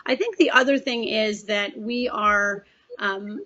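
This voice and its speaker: A woman's voice: mid-range voice, slow pace, sharp tone, dull audio. A woman on a panel, talking in a thoughtful way with some restarts while she finds her words. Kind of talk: virtual panel discussion. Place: at home on a video call.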